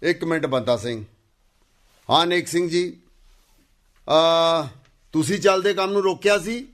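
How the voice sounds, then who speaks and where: A man playing an authoritative Punjabi village headman chairing a council in a radio play, measured and questioning. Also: fairly high pitch, a bit bright.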